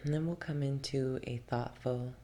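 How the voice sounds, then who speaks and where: A woman guiding an ASMR yoga relaxation, speaking softly and deliberately, often slipping into a whisper, with gentle instructions. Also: a bass voice. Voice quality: soft, breathy, often dropping into a whisper. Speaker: yoga nidra meditation guide. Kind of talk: ASMR guided relaxation and breathing practice. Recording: loud steady ambient noise.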